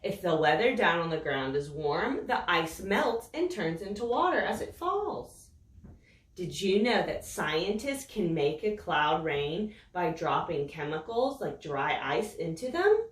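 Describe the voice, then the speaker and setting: Woman, children's storytime reader; slow, very animated sing-song delivery, slightly distant mic. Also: fairly low pitch. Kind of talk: reading a picture book aloud to children. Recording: roomy echo, voice set back from the mic.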